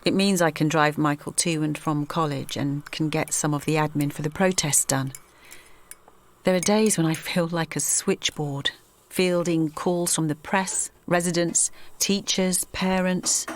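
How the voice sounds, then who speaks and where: A woman's voice: sits low, steady tone, measured pace. An actress playing a weary mother and protest organiser with an English accent, narrating confidingly in first person for an audio drama. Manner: confiding, present-tense storytelling.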